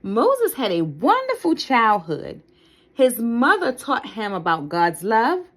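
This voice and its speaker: A woman reading a children's Bible story aloud as a storyteller, with deliberate, careful and expressive phrasing. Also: medium pitch.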